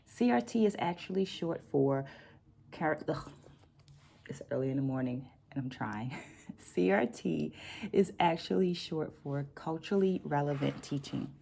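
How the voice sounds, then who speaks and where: A middle-aged woman with a low-pitched, soft-edged voice talks as the host of a reflective vlog. She explains in a deliberate way, leaning on key words as she goes. Her tone stays dark and relaxed. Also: creaky, a little hiss or hum. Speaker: book vlog host and dance educator. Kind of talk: reflective vlog talk with read-out quotes.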